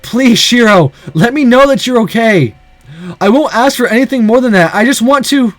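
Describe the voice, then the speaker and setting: A man's voice: high-pitched, lively intonation, moderate pace, darkish tone, no echo, soft background music. A man voicing an anxious, earnest young man pleading desperately in a visual novel. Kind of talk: dramatic visual novel character reading.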